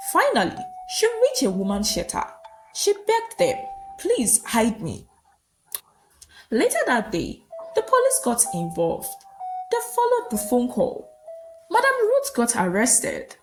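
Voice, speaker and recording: Slow, very lively woman storyteller. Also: a little high, poor audio. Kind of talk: dramatic scripted folktale narration.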